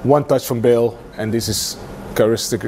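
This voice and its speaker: Halting mellow-voiced male football pundit. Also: medium pitch, fairly even intonation, slight background noise. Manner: halting, many fillers and restarts. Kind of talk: studio match analysis. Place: TV studio.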